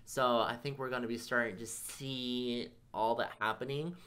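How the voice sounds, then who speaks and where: Man, thirties, video host, casual reactions, bright tone, small indoor room. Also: mid-range voice, steady tone, normal speed. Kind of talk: casual reaction commentary to camera.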